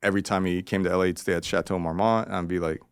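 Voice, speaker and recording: Low-voiced man telling stories, rambling. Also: steady tone, racing, smooth. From Los Angeles, Koreatown. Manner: profane, rambling, with frequent restarts; acts out quoted voices. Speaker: podcast guest. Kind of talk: casual storytelling.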